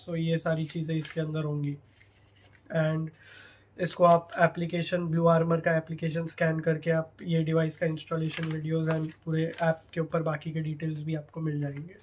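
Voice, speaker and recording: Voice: medium pitch, monotone, normal speed, low-quality recording. A product reviewer with an Indian accent narrates an unboxing casually, switching between Hindi and English. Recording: clear background noise, handling clicks.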